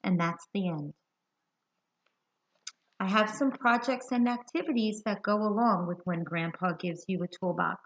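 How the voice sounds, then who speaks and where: An American woman reading a picture book aloud to young children, expressive and deliberate, putting questions to her listeners. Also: mid-range voice, a little hiss or hum. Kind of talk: children's read-aloud storytelling. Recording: dull lo-fi sound.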